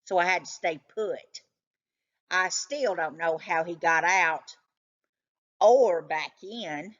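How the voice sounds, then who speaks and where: A woman voicing an excitable, suspicious male cat from a children's storybook, telling his tale with dramatic suspense. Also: fairly low pitch, takes it slowly, dull audio, voice pushed down. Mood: dramatic, conspiratorial.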